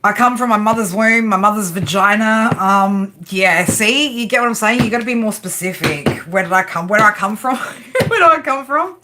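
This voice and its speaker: An Australian woman talks casually to her live-stream viewers while laying out a tarot reading. Her voice sits in an ordinary middle pitch, and her speech is loose, playful and full of slang. A faint hiss stays in the background. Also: moderate pace. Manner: chatty, playful, slangy, reads chat comments aloud. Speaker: tarot reader.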